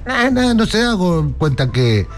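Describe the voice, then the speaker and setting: A man's voice: high-pitched, very animated, a little slow, faint music behind. A man with an Argentine accent giving a sarcastic political monologue, mocking and laughing at his own jokes while talking straight to the listener. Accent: Argentine Rioplatense. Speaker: political commentator.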